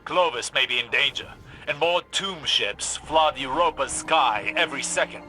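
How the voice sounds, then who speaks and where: Grave mentor warrior over music. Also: male voice, medium pitch, moderate pace, bright, forceful tone, low-quality recording. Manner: solemn, formal, dramatic. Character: grave, vigilant male scholar-warrior mentor. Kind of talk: scripted video game acting.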